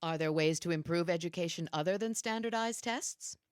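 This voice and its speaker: A woman playing a low-voiced, inquisitive radio newswoman. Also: normal speed, clear, resonant tone, no echo, studio quality, lightly acted. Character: inquisitive 1920s radio newswoman interviewing guests from the future. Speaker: host. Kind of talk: scripted satirical news interview.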